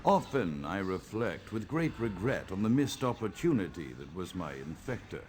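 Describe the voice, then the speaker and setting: An actor playing a pompous, morbid old vampire psychiatrist in a video game, with grandiloquent, brooding and precise diction. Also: male voice, deep voice, very animated, normal speed, noisy background. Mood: bitter regret. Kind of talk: theatrical monologue, video game voice acting.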